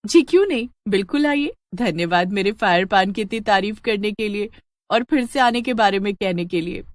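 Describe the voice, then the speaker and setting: A woman voicing a kind, dutiful village paan seller, polite and earnest, in an animated story. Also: medium pitch, moderate pace, dry recording, clean studio sound, lightly acted. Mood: polite, cheerful. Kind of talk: animated Hindi storybook acting.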